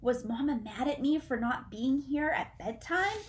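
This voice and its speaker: A woman voicing a plucky, excitable young female possum from a storybook, with an eager, exclaiming manner. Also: high voice, unhurried, slight background noise, pitched above the performer's own voice. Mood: anxious.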